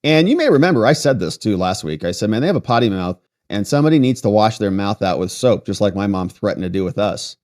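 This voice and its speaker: A man's voice: lowish voice, very animated, fast talker, few pauses, a little mellow. An American man hosting political commentary, reading articles aloud and breaking in with sarcastic, mocking asides.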